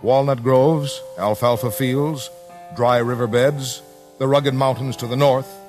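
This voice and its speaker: An American man narrates a 1950s radio documentary in a solemn, deliberate, scripted style with measured phrasing. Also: mid-range voice, steady tone, darkish tone. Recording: vintage 1950s radio broadcast, music underneath. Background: dramatic music.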